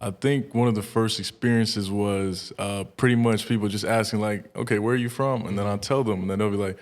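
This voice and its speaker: A man in his late twenties is the guest on a studio podcast. He has a slightly low-pitched voice and answers in a casual, conversational way. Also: steady tone, brisk. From United States, born in Ethiopia to a South Sudanese family. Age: late 20s.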